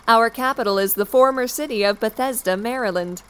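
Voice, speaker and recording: An actress playing the composed president of a dystopian state, giving a polished, formal, measured address in an audio drama. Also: female voice, fairly high pitch, a bit bright, clear, resonant tone, lightly acted. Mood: official welcome. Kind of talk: formal scripted official address.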